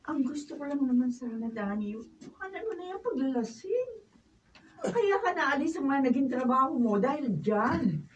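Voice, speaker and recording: Disapproving, nagging wife played by a woman, high voice, radio drama, muffled recording. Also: big pitch swings, moderate pace, recorded from a distance. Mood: reproachful. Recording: muffled off-mic room recording, noise, clicks.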